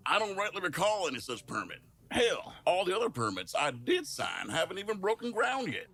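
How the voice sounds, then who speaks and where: A man's voice: sits high, huge pitch swings, moderate pace, creaky, a bit bright, breathy edge, heavily acted. A man voicing a jolly, folksy small-town western mayor in an audio drama. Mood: sheepish, jovial. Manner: folksy, chuckling.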